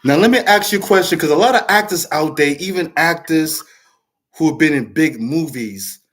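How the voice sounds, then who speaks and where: Comedian guy hosting, a bit high-pitched, chatty and animated. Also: normal speed. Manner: animated and chatty, laughs easily. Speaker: host and stand-up comedian. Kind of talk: casual interview chat.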